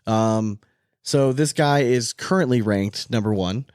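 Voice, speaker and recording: A man's voice: mid-range voice, measured pace, a little mellow, clear, resonant tone, dry recording, studio quality. A man hosting a sports podcast in casual, conversational talk with his co-host and guest.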